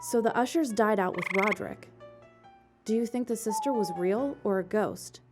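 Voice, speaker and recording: An actress playing a curious, reassuring sister in an audio drama, composed and earnest. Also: female voice, medium pitch, normal speed, close to an everyday voice. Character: curious, reassuring sister reading father's eerie diary aloud. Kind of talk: audio drama dialogue and diary reading. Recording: clear music underneath.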